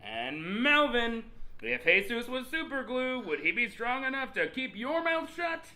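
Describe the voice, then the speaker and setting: A flamboyant TV dating show host with a very high, bright voice. He swings widely in pitch, pushing contestants along with grand, theatrical announcements in a comedy. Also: very animated, measured pace, full character voice. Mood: teasing, showy. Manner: exaggerated, dramatic announcer delivery.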